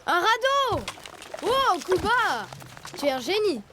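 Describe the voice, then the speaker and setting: A woman's voice: very high voice, big pitch swings, slow, noisy background, exaggerated voice. A woman voicing a playful, loyal young male tiger cub in a children's cartoon dub, with a teasing way of talking. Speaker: dubbing voice actor. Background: music.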